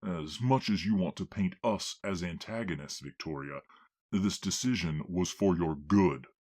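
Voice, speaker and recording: An actor playing a stern, weary authority figure in a fantasy audio drama, formal and admonishing. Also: male voice, sits low, unhurried, a little mellow. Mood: exasperated.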